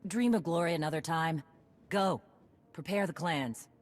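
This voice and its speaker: An actress playing a tough, blunt warrior commander with a dry, rallying delivery. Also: female voice, sits low, moderate pace.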